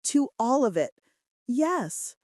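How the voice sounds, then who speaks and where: A woman's voice: high-pitched, very animated, slow, darkish tone, no room sound, pitched above the performer's own voice, exaggerated voice. A woman voicing a practical, affectionate female assistant with dry wit in a romance audiobook. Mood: loving, teasing. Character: practical, wry, loving female assistant to mafia boss.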